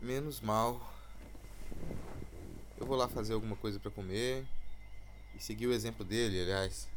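A man's voice: on the low side, fairly even intonation, normal speed, a little hiss or hum, close to an everyday voice. A man with a Brazilian accent playing a weary, rattled man in a naturalistic horror audio drama. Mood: tired, casual.

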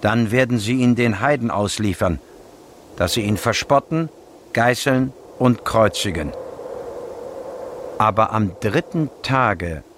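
Solemn, low-voiced prophet. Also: male voice, expressive, slow, pauses often, fairly bright tone, slight background noise. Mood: solemn prophecy. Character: Jesus prophesying his death and resurrection, solemn. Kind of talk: scripture recitation in radio drama.

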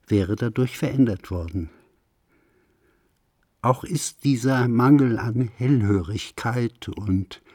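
A man with a low, husky voice gives a solemn scripted reading of literary prose. He takes his time over each phrase, grave and declamatory in the way of a radio recitation. The pace is slow and deliberate. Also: darkish tone, no room sound, clean studio sound. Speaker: reader of a literary essay.

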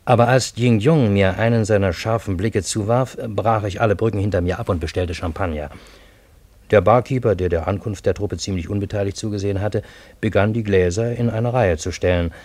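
An actor as a wry first-person storyteller, narrating a radio drama in a measured, matter-of-fact way. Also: male voice, on the low side, a little mellow, no room sound, lightly acted. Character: wry male first-person storyteller recounting strange events.

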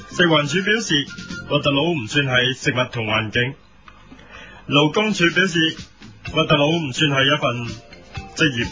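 A man's voice: fairly high pitch, bright, forceful tone. A man playing a solemn news commentator who reads absurd editorials with formal gravity in an audio comedy. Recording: muffled lo-fi audio with music behind.

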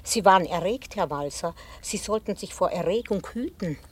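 An actress playing a composed, quietly menacing older housekeeper in a 1960s radio play, speaking with formal, controlled politeness. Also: female voice, fairly low pitch, animated, normal speed, breathy edge. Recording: hissy 1960 radio play recording.

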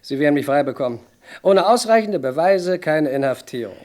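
An arrogant, slippery businessman played by a man in a German radio crime play, his voice on the higher side. He is dismissive and self-assured, dodging as he talks. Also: lively intonation, brisk, darkish tone, close to an everyday voice. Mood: scornful, defiant. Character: arrogant, slippery male businessman swindler under arrest.

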